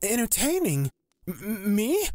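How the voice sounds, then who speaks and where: A man voicing a nervous, bewildered, deferential young cleric in a fantasy audio drama, polite and hurried. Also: high-pitched, huge pitch swings, darkish tone, dry recording, pitched above the performer's own voice, exaggerated voice. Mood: incredulous, flustered. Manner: hurried, slightly stammering, polite.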